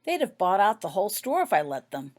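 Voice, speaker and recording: A woman's voice: medium pitch, sing-song, fairly quick, a little mellow, voice pushed up. A woman voicing a tired, harried but polite mother in a storybook reading. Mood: exasperated. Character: harried, tired young-children's mother, grateful.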